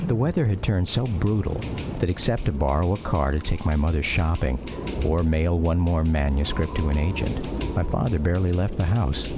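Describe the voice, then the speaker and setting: Grown son looking back, American accent, very deep voice, radio memoir narration, music behind. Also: male voice. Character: grown son recalling his 1950s childhood. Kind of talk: scripted radio drama narration, first-person memoir. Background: music under the voice.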